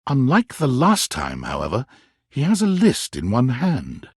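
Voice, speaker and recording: A man narrating a romantic comedy video game with measured, dramatic storytelling and deliberate pauses. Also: medium pitch, huge pitch swings, husky, no room sound, studio quality, heavily acted. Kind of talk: scripted second-person narration of a dating game.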